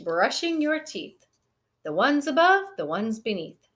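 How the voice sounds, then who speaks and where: A woman voicing a brisk, orderly mother bear who chides and instructs, in a children's picture-book read-aloud. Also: on the high side, sing-song, fairly bright tone. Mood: instructive.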